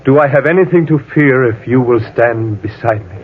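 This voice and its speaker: A man's voice: mid-range voice, brisk, close to an everyday voice. Man acting anguished fugitive painter, tinny radio. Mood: weary hope. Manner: melodramatic, troubled. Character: anguished fugitive male painter, weary and fearful. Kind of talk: old-time radio drama acting. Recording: tinny old radio recording.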